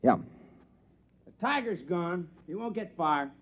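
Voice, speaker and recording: Rough, animated, practical pilot. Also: male voice, high voice, unhurried. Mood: dismayed. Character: brisk, practical male adventurer-pilot with a gambling streak. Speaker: actor. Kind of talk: 1950s radio drama acting. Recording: dull, muffled vintage radio recording.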